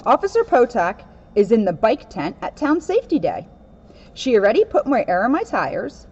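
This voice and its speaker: A woman reads a children's story aloud as a police officer. Her voice sits a little high and moves with very animated intonation. The recording is slightly dull and lo-fi. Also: moderate pace, breathy edge. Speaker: police officer reading a picture book. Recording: dull, lo-fi sound.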